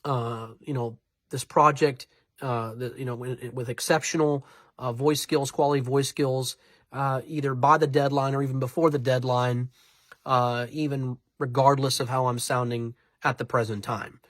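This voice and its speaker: A man's voice: mid-range voice, fairly even intonation, moderate pace, no room sound, studio quality. A congested, nasal-sounding man giving informal advice to viewers as a voiceover artist, rambling and often correcting or repeating himself for emphasis. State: congested from allergies and sinus trouble.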